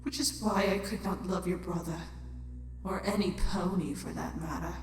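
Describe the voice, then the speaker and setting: A woman playing a menacing, bitter, weary changeling queen in an audio drama, slow and theatrical, often sinking toward a whisper. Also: lowish voice, steady tone, lightly acted. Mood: proud, wistful. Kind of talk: audio drama acting of fan fiction. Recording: very echoey, long hall reverb. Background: music.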